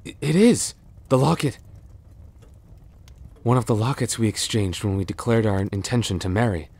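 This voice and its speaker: Man acting a low, husky cursed young prince. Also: animated, darkish tone. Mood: astonished. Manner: halting, breathy sighs and gasps, formal archaic phrasing. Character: cursed young prince, proud, lovelorn, tender and anxious. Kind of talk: boyfriend roleplay audio drama.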